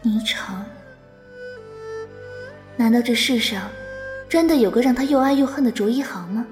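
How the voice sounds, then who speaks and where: Gentle senior swordswoman for a wuxia audio drama, female actor: lightly high, patient, doting, composed, over soft music. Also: normal speed, lightly acted. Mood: wistful, resolute. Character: gentle, patient, doting young swordswoman, older fellow disciple.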